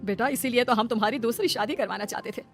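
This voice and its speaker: Woman as brisk, worried mother-in-law. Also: fairly high pitch, close to an everyday voice. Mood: consoling. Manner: earnest, admonishing. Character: middle-aged mother-in-law, worried about society, protective, firm. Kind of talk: Hindi cartoon family melodrama. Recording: music behind the voice.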